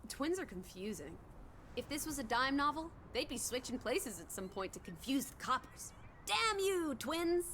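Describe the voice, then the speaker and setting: A spirited young game companion, high in pitch and very animated in her delivery. She is playful and teasing, tossing out wry, old-fashioned slang over faint background noise. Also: normal speed. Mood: brash, teasing. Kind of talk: naturalistic video game voice acting.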